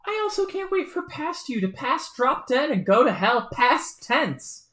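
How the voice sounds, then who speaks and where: This is a short-fused young troll who snaps and swears at everyone, put on by a woman reading a comic aloud. The voice leaps high and loud with each outburst. A roomy echo surrounds it. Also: big pitch swings, measured pace, exaggerated voice. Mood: furious ranting. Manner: shouting, ranting, swearing. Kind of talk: fan reading of a webcomic.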